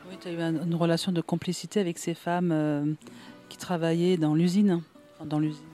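Brief: male radio host, flat mellow delivery, faint music underneath. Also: on the high side, fairly quick. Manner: informal, probing questions. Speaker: radio interviewer. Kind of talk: casual radio interview.

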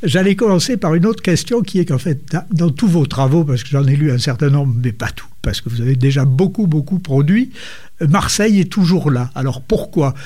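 A man hosts a community radio interview with a soft, mellow tone and a voice pitched a touch high for a man. He talks in long, looping sentences. He adds small murmurs of agreement while the guest speaks. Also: normal speed. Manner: long winding introductions, frequent murmured assent. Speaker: radio show host.

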